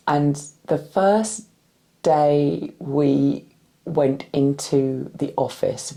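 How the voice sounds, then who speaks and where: Low, slow-talking tired West London woman. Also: dark tone. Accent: West London with a slight Irish-influenced R. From West London, England. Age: 40s. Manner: thoughtful, hesitant, many fillers and restarts. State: tired, slightly cracky voice. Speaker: interviewee, movement practitioner. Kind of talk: unscripted interview answers.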